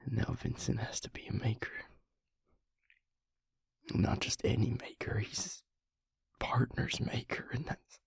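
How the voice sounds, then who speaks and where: A man playing a grieving, guilt-ridden vampire confiding in his mate in an intimate audio roleplay, halting and hushed, with a breathy, husky voice. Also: mid-range voice, little pitch movement, no room sound, heavily acted. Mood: distressed, bitter. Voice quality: breathy, husky, gravelly near-whisper.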